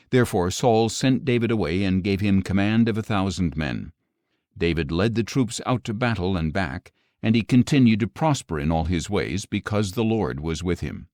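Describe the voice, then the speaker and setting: Low-voiced man narrating scripture expressively. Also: a little mellow, no echo, clean studio sound. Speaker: audiobook narrator.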